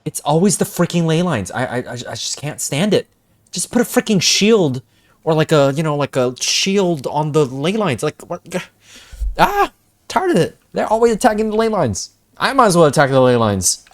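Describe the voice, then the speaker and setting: A man's voice: on the high side, sing-song, moderate pace. A young man giving casual, slangy, exclamatory live reaction commentary as a game streamer.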